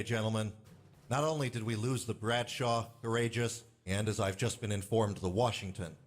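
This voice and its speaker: An actor playing a stern, weary squadron commander giving grave, hard-nosed speeches to pilots in a space game. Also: male voice, on the low side, close to an everyday voice. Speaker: military commander briefing pilots. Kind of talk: video game voice acting, military briefing speech. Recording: music behind the voice.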